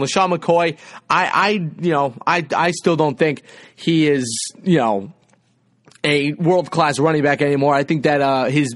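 A man's voice: a little high, no echo. A man hosting a sports podcast with casual, emphatic, conversational commentary.

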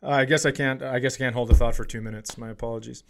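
A man's voice: medium pitch, steady tone, rapid-fire, creaky, a little hiss or hum. A man in his forties co-hosting a comedy podcast, telling stories casually in a teasing, self-deprecating, sweary way. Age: 40s. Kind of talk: casual comedic banter and storytelling.

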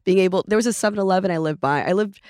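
A young adult woman from Norway, a native Norwegian speaker, chatting as a podcast guest in a relaxed, meandering way with frequent fillers. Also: mid-range voice, very fast, clean tone, no room sound, studio quality. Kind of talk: casual chat.

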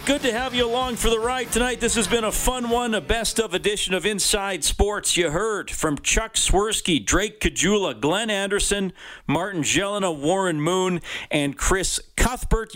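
A man's voice: fairly high pitch, fairly bright tone. A man hosting a sports radio show in a casual, conversational style.

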